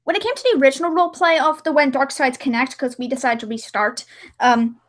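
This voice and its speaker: A woman's voice: sits high, fast talker. A woman chatting casually and ramblingly as she hosts a livestream, acting out remarks as she goes. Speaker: livestream host and hobby voice actress.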